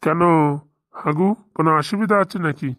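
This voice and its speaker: A man voicing an eager, bossy village gentleman in a comic cartoon, rambling in folksy dialect. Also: on the high side, moderate pace, no room sound. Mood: insistent. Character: eager marriage-hungry village gentleman, bossy boss to two helpers. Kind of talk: comic Bengali cartoon dialogue.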